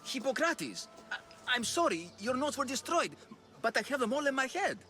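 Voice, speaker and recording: An actor playing a dutiful, stubborn ancient Greek battlefield doctor in a dramatic video game, earnest and firm. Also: male voice, very high voice, big pitch swings, moderate pace, sharp tone, full character voice. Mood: apologetic.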